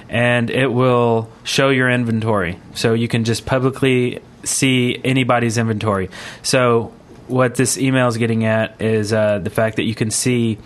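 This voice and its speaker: A man with a medium-pitched voice talks as a podcast host. He explains things casually and informally, wandering a little as he goes, as if chatting with friends about games. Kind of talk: casual gaming podcast chat.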